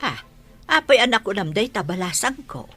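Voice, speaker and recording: A woman's voice: medium pitch, normal speed, faint music behind. A woman playing a caring, nosy middle-aged aunt in a radio drama, with an Ilocano accent and an exclamatory way of talking. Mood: advising. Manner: animated, exclamatory.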